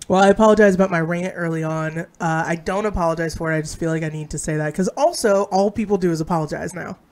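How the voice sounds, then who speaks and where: Woman, low voice, hoarse from a cold, blunt, ranting, casual chat. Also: fast, darkish tone. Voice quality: low, sick-sounding voice. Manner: ranting, outspoken. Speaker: podcast co-host.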